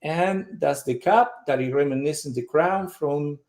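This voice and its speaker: A man's voice: fairly high pitch, measured pace, a little mellow. Strongly man in an echoey room. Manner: strongly accented, searching for words, many fillers. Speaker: guest. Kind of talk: casual conversation.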